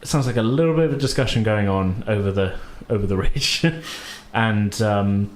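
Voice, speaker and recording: A man's voice: lowish voice, animated, moderate pace. Man game master in an echoey room. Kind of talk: casual tabletop game narration and banter. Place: indoor room with other players. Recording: roomy echo, faint background noise.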